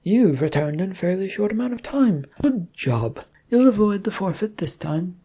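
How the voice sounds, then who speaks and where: Sadistic male torturer for a story, played by the narrator: high, breathy, taunting, chatty, falsely courteous. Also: big pitch swings, moderate pace, dark tone. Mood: eager, impatient. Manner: taunting, chatty, mock-polite.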